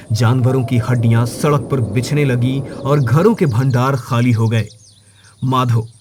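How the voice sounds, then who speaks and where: A man reading a scripted moral story as its narrator, in a dramatic storytelling style. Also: medium pitch.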